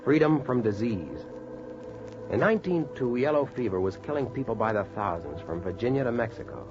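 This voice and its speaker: An American man acting an earnest, determined doctor and storyteller in a measured 1940s radio style. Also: medium pitch, expressive, lightly acted. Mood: stirring, solemn. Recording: muffled vintage radio, music behind.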